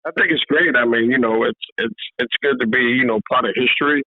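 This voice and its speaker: Boxing trainer guest on a remote call, easygoing, loose "you know" phrasing, thin phone-line sound, dry. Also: male voice, mid-range voice, a little fast, no echo. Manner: relaxed, repeats "you know" often. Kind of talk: casual remote interview. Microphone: video call. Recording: tinny phone-like call audio.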